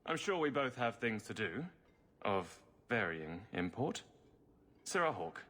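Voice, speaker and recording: A man's voice: on the low side, sing-song, unhurried, a bit bright, heavily acted. An actor playing a polite, mild, bemused city guardsman in a fantasy video game, courteous and a little hesitant. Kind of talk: dramatic video game acting.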